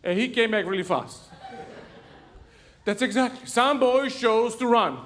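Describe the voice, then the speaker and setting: A man's voice: very high-pitched, a little slow, fairly bright tone. A man preaching in a church, telling funny stories and laughing at his own jokes. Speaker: pastor. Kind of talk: humorous sermon storytelling. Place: church sanctuary.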